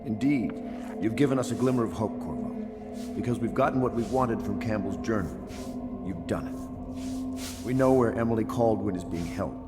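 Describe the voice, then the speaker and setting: An actor playing a dignified conspiracy leader in a video game, courteous and formal, with measured authority. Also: male voice, on the low side, loud music behind the voice, natural voice. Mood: grave, pleased.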